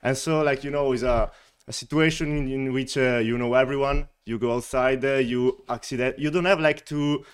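A young man with an Italian accent talks through a casual interview in a smooth, clean voice, pitched in an ordinary middle register. He is lively and quick to laugh. He keeps circling back with "yeah, yeah, yeah" and "totally" as he finds his words. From Italy, Veneto. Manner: animated, laughs often, repeats "yeah, yeah, yeah" and "totally". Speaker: wine company representative, guest.

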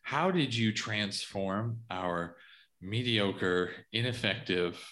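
A man hosting a podcast interview, asking long winding questions full of warm praise for his guests. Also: on the low side, animated, slow. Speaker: podcast host.

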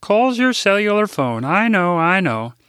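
A man's voice: high-pitched, big pitch swings, unhurried, a bit bright, clear, resonant tone, no echo, clean studio sound, voice pushed up, heavily acted. A man voicing a cheerful, innocent Midwestern grandmother over 60, earnest and sing-song with a Midwestern accent. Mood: gushing.

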